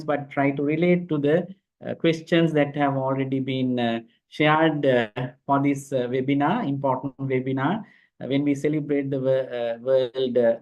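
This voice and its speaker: A man from Sri Lanka giving a keynote address over a video call, measured and dotted with fillers. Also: medium pitch, darkish tone, dull audio. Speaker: keynote speaker.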